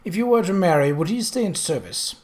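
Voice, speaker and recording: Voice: medium pitch, lively intonation, brisk, a little mellow, voice pushed up, natural voice. Narrator doing a composed lady of the house, firm and inquisitive, storybook mystery. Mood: probing, softened. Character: firm, probing young gentlewoman questioning her maid.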